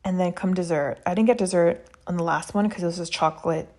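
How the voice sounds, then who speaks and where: A woman's voice: on the low side, steady tone, fast talker, a little mellow. A woman narrating a travel vlog in a casual, chatty and candid, understated way. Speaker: travel vlogger. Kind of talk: casual vlog voice-over reviewing an experience.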